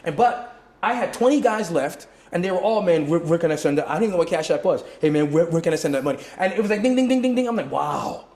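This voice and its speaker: A middle-aged man from Jamaica tells stories in an interview, his voice sitting a little high for a man. He keeps acting out other people's lines in shifting voices and often restarts his sentences. The room around him sounds echoey. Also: animated, quick pace, hardly any pauses, darkish tone. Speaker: martial arts instructor and guest. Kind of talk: animated storytelling in conversation.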